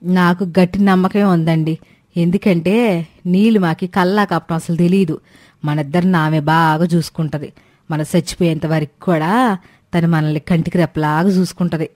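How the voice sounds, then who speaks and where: A woman's voice: lowish voice, steady tone, normal speed, darkish tone, natural voice. A woman voicing a sly, sweet-talking elderly mother-in-law in a moral cartoon story. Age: older.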